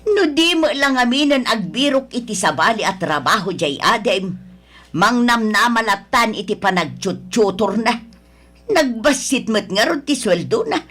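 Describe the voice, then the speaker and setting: High-voiced nagging older mother played by a woman. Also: animated, a little slow, fairly bright tone. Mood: grumbling. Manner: scolding, complaining. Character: nagging, disapproving older mother of grown son. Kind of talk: Ilocano radio drama acting.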